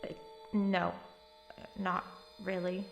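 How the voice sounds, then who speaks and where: A woman's voice: fairly low pitch, flat delivery, takes it slowly, vocal fry. An actress playing a determined, matter-of-fact young woman in an audio drama, dry and slightly exasperated. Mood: dry, resolute. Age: young adult. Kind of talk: naturalistic audio drama acting. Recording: roomy echo with music behind.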